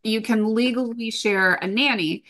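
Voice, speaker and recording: A woman's voice: on the high side, measured pace, fairly bright tone. A middle-aged woman from Venezuela and Brazil giving conversational, matter-of-fact interview answers as a podcast guest, explaining through personal stories.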